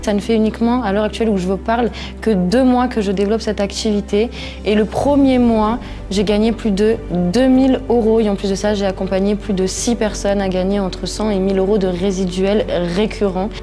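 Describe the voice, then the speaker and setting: Woman, twenties, soft-toned and mid-pitched, testimonial delivery over faint music. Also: steady tone, a little fast. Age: 20s. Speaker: entrepreneur giving a testimonial. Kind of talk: promotional testimonial.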